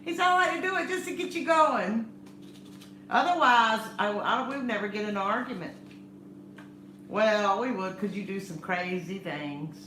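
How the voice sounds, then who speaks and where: Loud, chatty middle-aged woman; bright, slightly high voice; echoey room with faint hum. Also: expressive, normal speed. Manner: chatty, laughs often, teasing. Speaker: channel co-host. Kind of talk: casual chat.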